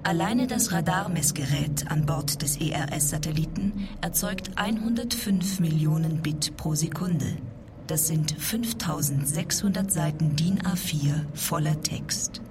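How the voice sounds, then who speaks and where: A woman's voice: low-pitched, a little slow, noisy background. A woman reading scripted documentary narration in a factual, orderly manner. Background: music.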